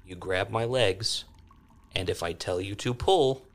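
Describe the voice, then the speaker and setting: A man playing a tabletop role-playing game, chatting casually in a halting way, with noise in the background. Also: fairly low pitch, fairly bright tone. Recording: constant clear background noise.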